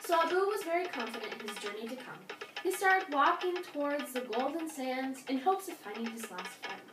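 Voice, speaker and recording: A woman tells a storybook folktale with a very lively, swooping delivery. She is expressive and emphatic. The recording is roomy, picked up some way from the mic, with faint noise. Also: high-pitched, brisk, distant mic. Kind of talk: dramatic storybook narration of a folktale.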